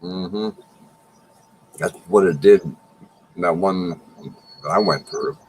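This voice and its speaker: A man's voice: low voice, huge pitch swings, slow, gravelly, slight background noise, low-quality recording. A man co-hosting a livestream who explains weather in a plain, matter-of-fact way. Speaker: livestream co-host. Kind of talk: casual chat.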